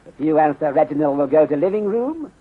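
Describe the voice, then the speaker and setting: A muffled, narrow-band 1930s radio recording carries a shrewd, courteous middle-aged Chinese detective. His husky voice is measured and formal, with clipped sentences that drop their articles. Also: on the high side, lively intonation. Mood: calm, methodical. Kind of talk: 1930s radio mystery acting.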